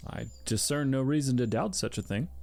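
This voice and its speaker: An actor in an audio drama playing an earnest personified emotion, with stiff, archaic phrasing and halting delivery. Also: male voice, medium pitch, lively intonation, gravelly, darkish tone. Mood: gentle, formal. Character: Fear, formal earnest male personified emotion, newly calm.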